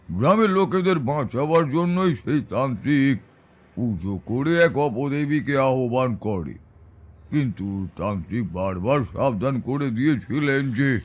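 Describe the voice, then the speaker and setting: A man playing a grave, composed tantric who recounts an eerie tale in an audio story. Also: medium pitch. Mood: grave, mysterious. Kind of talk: dramatic first-person audio storytelling.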